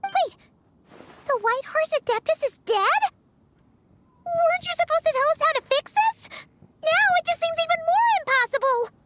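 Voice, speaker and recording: A woman voicing a tiny, excitable fairy companion in a video game, squeaky, childlike and blunt. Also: moderate pace, long pauses, slight background noise. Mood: alarmed. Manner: exclamatory, blunt, eager questioning. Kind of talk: cartoonish video game dialogue.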